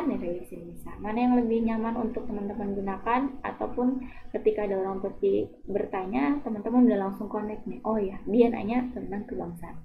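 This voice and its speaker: A woman language teacher patiently explaining Turkish phrases, with frequent hesitations and repetitions. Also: medium pitch. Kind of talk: explaining a Turkish lesson in Indonesian. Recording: tinny phone-like audio.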